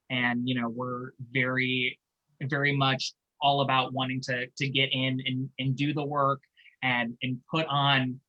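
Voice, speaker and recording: Earnest male host, bright voice. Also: medium pitch, dull audio. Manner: earnest, halting with repeated words. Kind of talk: casual closing remarks to an online audience.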